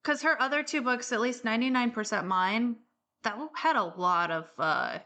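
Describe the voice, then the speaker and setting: A woman's voice: fairly high pitch, lively intonation, moderate pace, sharp tone. A woman co-hosting a podcast, casually chatting and explaining things about books. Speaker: podcast co-host. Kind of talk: casual book chat.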